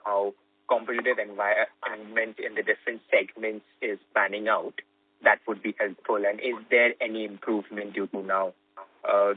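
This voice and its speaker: A man speaks as a financial analyst, putting questions politely and a little haltingly on a conference call. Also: lowish voice, slightly rough. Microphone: phone. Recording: narrow, dry phone line.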